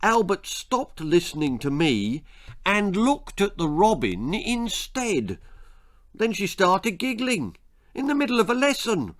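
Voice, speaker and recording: A man's voice: high-pitched, very animated, slow, pitched above the performer's own voice, heavily acted. A man voicing a pompous, vain, foolish middle-aged father in a children's story, self-important and blustering. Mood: exasperated ranting.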